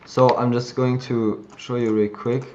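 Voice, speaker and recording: A man hosting a live community call, chatting loosely and thinking aloud as he shows software on his screen. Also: medium pitch, flat delivery, a little slow, dull audio, slight background noise. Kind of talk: casual screen-share tutorial.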